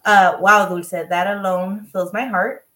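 A woman's voice: mid-range voice, level tone, a little slow, a bit bright. A woman hosting a podcast interview, enthusiastic and effusive, slipping Spanish phrases into her English with frequent ums. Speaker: podcast host.

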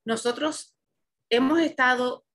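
A Puerto Rican woman, a law professor, answers interview questions in a bright, clear voice. She speaks thoughtfully and haltingly, often pausing on "eh" as she gathers her words. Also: high voice. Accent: Puerto Rican Spanish. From Puerto Rico. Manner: thoughtful, halting, with frequent "eh" pauses.

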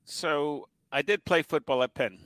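A man's voice: fairly high pitch, measured pace, a bit bright, dry recording. A man in his sixties from Puerto Rico, a guest broadcaster telling stories in a casual interview, often chuckling and restarting his sentences.

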